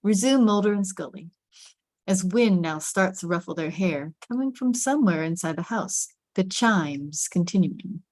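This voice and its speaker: A woman narrates a screenplay at a table read in a slightly low voice. The sound is dry and close, and she phrases the directions with suspense and emphasis. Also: lively intonation, moderate pace, darkish tone, dry recording. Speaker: narrator reading stage directions.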